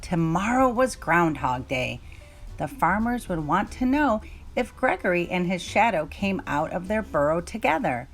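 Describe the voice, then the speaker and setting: A woman's voice: fairly low pitch, sing-song, unhurried, faint music behind. A woman reading a children's story aloud as narrator.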